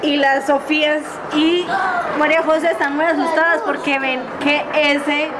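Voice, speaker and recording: A woman with a high voice tells an improvised story for a children's radio drama. She speaks slowly, building suspense and cueing the players. Loud noise of a group fills the room. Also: level tone, crowd noise. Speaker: narrator and facilitator.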